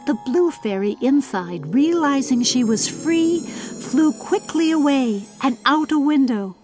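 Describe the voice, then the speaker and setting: A woman with a high voice narrates a dramatic fantasy audiobook. She takes her time over the formal, theatrical phrasing. Faint music plays underneath. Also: expressive, slow pace, darkish tone. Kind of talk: scripted dramatic storybook narration.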